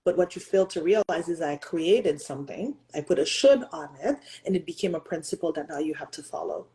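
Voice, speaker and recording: A woman's voice: low voice, animated, a little fast, dark tone, rough recording. A woman in her thirties hosting a motivational talk, speaking earnestly and pressing listeners with rhetorical questions, sometimes slipping into French and Haitian Creole. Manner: earnest, emphatic, asks the audience rhetorical questions. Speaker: host.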